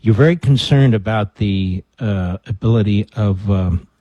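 Male radio host, husky low voice, probing questions, restarts often, dry sound. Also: unhurried, no room sound, highs cut off. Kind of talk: radio interview.

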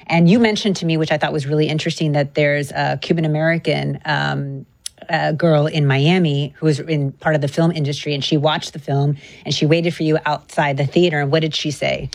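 A woman's voice: low voice, fairly even intonation, brisk, vocal fry, no echo. A woman co-hosting an interview in a casual, conversational style.

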